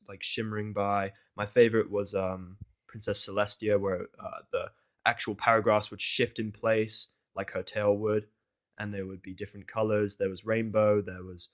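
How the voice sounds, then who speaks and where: A man narrating fan fiction in an informal, exasperated way, swearing and slipping into casual asides. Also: fairly low pitch.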